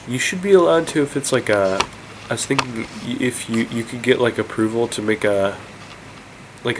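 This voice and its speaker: Congested young man ranting, low voice. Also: background noise. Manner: rambling, incredulous, many fillers and restarts. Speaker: vlogger. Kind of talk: casual rant to camera.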